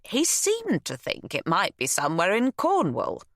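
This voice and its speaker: A woman's voice: medium pitch, huge pitch swings, unhurried, no room sound, studio quality, pitched above the performer's own voice. Woman putting on a nosy older shopkeeper. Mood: chatty. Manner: chatty, insistent gossip.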